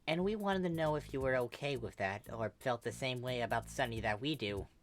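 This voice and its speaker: A woman voicing a smug, soft-spoken teenage boy who teases and coaxes patiently. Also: extremely low pitch, brisk. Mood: patient, coaxing. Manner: teasing, patient, coaxing.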